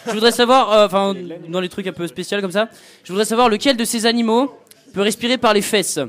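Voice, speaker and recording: A man's voice: sits high, steady tone, quick pace, fairly bright tone. A young man co-hosting a student radio quiz, asking questions with lots of hesitant fillers. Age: young adult. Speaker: quiz co-host.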